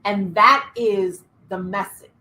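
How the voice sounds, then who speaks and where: Bright-voiced woman coaching entrepreneurs. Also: mid-range voice, takes it slowly. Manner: energetic, asks audience questions, laughs often. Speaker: business coach. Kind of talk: online workshop teaching. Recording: faint background noise.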